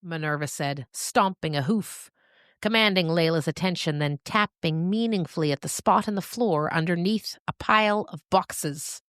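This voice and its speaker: A woman narrator reading a scripted story in a deliberate, dramatic storytelling manner. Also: fairly low pitch, a bit bright, dry recording, studio quality.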